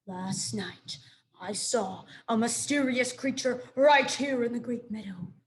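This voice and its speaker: A woman's voice: on the high side, slow. A woman voicing an alarmed, suspicious owl who makes dramatic announcements in a children's story. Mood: ominous. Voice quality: screechy, emphatic. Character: alarmed, suspicious owl, hooting leader of a crowd.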